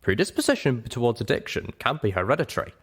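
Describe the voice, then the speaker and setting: A man voicing a calm, frank pony doctor in a dramatized audiobook, measured and matter-of-fact. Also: mid-range voice, breathy edge, pitched above the performer's own voice. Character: calm, frank pony doctor giving grave medical advice.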